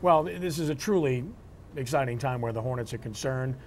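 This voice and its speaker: A man's voice: medium pitch, a little fast, slight background noise. A man hosting a sports talk show, presenting and discussing in a broadcast style. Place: live remote broadcast from a local venue.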